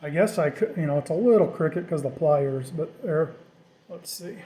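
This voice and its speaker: A man's voice: fairly high pitch, moderate pace, dark tone, low-quality recording. A man narrating a do-it-yourself project in a casual, off-the-cuff way, thinking aloud informally as he goes. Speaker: DIY vlogger.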